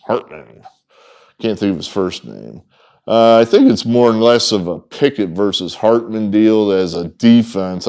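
A man's voice: fairly low pitch, a little mellow, clean tone. A middle-aged man hosting a sports betting picks video, talking casually and rambling to the camera with lots of ums and asides. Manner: rambling, folksy, frequent ums and trailing asides. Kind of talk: casual off-the-cuff talk to camera. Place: indoor room with wood-paneled walls, in front of a whiteboard.

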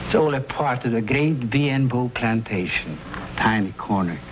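An earnest, anxious immigrant settler in a vintage TV western, played by a man. His voice sits at a medium pitch, and he speaks as a devoted, worried husband over a clearly noisy old soundtrack. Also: expressive, unhurried, close to an everyday voice. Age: middle-aged. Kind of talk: 1950s television western acting.

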